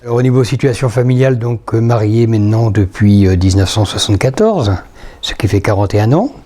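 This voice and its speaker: Low-voiced man in his sixties, matter-of-fact. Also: animated, a little fast, warm tone. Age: 60s. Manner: orderly, matter-of-fact with fillers. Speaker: retired school administrator, lay associate. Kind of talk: recorded personal testimony.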